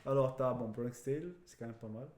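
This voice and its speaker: Man, podcast co-host, casual slangy talk, English words mixed in, mid-pitched voice, echoing room. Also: brisk, heavy background noise. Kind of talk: casual film chat among friends. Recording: roomy, echoing room sound.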